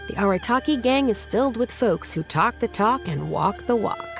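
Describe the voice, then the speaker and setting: A woman's voice: fairly high pitch, lively intonation, moderate pace, gravelly, over music. A woman voicing an earnest, caring sister in a video game, plain-spoken and exclamatory. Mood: impressed, curious. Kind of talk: scripted video game voice acting.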